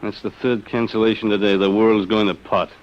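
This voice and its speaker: A man's voice: on the low side, little pitch movement, fairly quick, muffled recording, natural voice. An actor playing a harried, exasperated salesman and husband, fretful and blustering, in 1950s radio drama style. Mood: dejected. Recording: noisy old radio broadcast recording.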